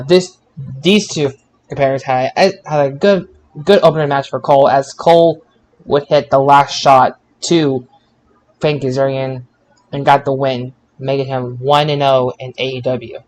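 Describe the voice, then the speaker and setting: A man's voice: medium pitch, fairly even intonation, normal speed. A man co-hosting a podcast, recapping shows in an informal, bantering way and stumbling over his words now and then. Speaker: podcast co-host. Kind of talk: casual wrestling show recap.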